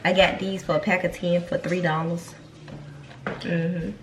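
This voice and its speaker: A woman's voice: lowish voice, flat delivery, a little slow, noisy background. A young woman with a Southern accent, a mom chatting casually to her viewers in a home vlog, stuffy-nosed and rambling, often repeating herself. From North Carolina. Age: young adult. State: stuffy nose. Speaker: vlogger and mom. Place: home kitchen.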